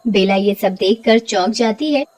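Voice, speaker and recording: A woman's voice: medium pitch, moderate pace, darkish tone. A woman narrating a scripted cartoon story as its storyteller.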